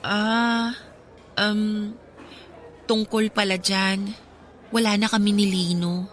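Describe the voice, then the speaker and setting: A woman's voice: mid-range voice, flat delivery, slow, slight background noise, lightly acted. An actress playing a young working woman in a radio drama, speaking conversationally and earnestly with a Filipino accent. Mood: subdued, resigned. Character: young working woman confiding a recent breakup, subdued. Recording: faint music behind voice.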